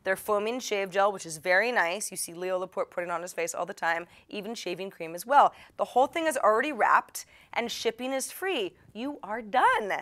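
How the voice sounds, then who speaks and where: A woman's voice: mid-range voice, lively intonation, normal speed, few pauses, fairly bright tone. A woman hosting a show, talking to her audience in a chatty, playful, wry way that is part scripted and part casual. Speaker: host of a tech tips show.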